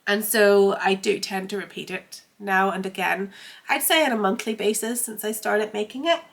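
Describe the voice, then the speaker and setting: A woman's voice: mid-range voice, normal speed, creaky, a little hiss or hum. A woman with a congested, head-cold voice, chatting casually as the host of a sewing vlog while feeling under the weather. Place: home sewing room.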